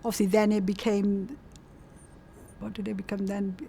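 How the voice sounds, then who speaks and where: A woman's voice: mid-range voice, flat delivery, normal speed, creaky, warm tone, slight background noise. A woman, chatting and reminiscing about her own life in an interview, often repeating points for emphasis. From South West England. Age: 60s. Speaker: community storytelling interviewee. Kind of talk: casual personal reminiscence in an interview.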